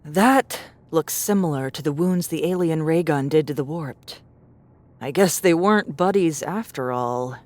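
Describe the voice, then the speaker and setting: A bold young woman explorer from a sci-fi audiobook. Her voice sits a little low, and she talks in a brisk, sardonic way, like someone used to taking the lead. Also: a little mellow. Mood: matter-of-fact, confident. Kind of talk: audiobook character acting.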